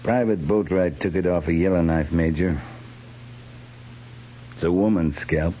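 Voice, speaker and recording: An actor playing a grave, restrained cavalry captain in 1950s American radio drama, speaking in a terse, formal military way. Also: male voice, low-pitched, fairly even intonation, measured pace, warm tone. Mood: grim, formal. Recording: muffled, hissy 1950s radio recording.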